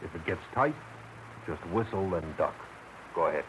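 A man playing a gruff, sardonic police lieutenant in a vintage radio detective drama, with a dry, grudging delivery. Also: low voice, normal speed, lightly acted. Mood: grudging, businesslike. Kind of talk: 1940s-50s radio detective drama acting. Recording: slightly hissy vintage radio broadcast.

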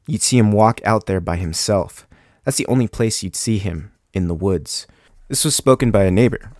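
A man's voice: a little mellow, no echo, studio quality. Young man hosting, a little sick. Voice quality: deeper than usual from illness. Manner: casual, with ums and likes. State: sick with a cold. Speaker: podcast host. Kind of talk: true crime podcast narration.

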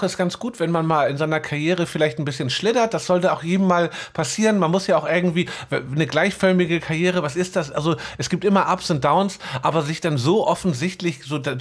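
A man with a slightly high voice co-hosts a casual podcast. He rambles through his opinions bluntly and often stutters into his words before finishing the thought. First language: German. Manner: rambling, stuttering over word starts, blunt. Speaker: podcast co-host.

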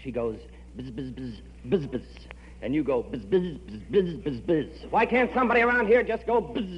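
Exasperated, sarcastic father with swooping pitch. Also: sing-song, moderate pace. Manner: dry, sarcastic, weary. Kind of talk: 1940s radio comedy acting. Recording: muffled, hissy, humming old radio broadcast.

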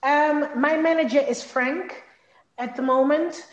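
A woman's voice: high voice, steady tone, slow, reverberant, highs cut off, slight background noise. A young woman from Congo whose first language is Lingala, speaking in a casual interview and often repeating words as she searches for phrasing. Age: young adult. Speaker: interview guest, singer.

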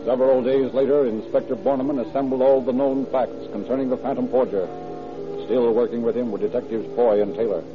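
A man's voice: medium pitch, steady tone, a little fast. A man narrating a scripted radio crime drama in classic mid-century broadcast style. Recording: narrow old radio recording with music. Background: music.

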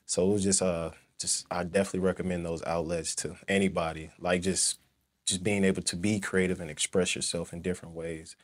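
A man's voice: sits low, level tone, brisk. A young man from St. Louis, a former football player, talking casually as an interview guest, rambling with lots of "you know" fillers. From St. Louis, Missouri. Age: young adult.

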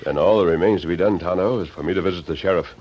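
An American actor playing a steadfast, commanding masked hero in an old radio western, assured, earnest and clearly spoken. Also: male voice, sits low, rapid-fire. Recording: dull lo-fi vintage radio recording, some noise.

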